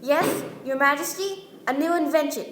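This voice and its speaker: A woman's voice: sits high, moderate pace, lightly acted. A woman playing a boastful, plain-spoken inventor who serves a king, in an amateur radio play. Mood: proud. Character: boastful Yankee inventor, the king's right-hand man. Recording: roomy live room, music behind.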